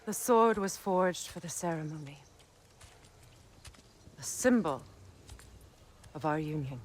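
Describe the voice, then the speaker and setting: Low-voiced, solemn goddess warrior. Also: female voice, lively intonation, exaggerated voice. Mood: bitter, resolute. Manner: formal, measured, weighty. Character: solemn, regal goddess warrior, grieving mother. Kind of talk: dramatic video game cutscene acting.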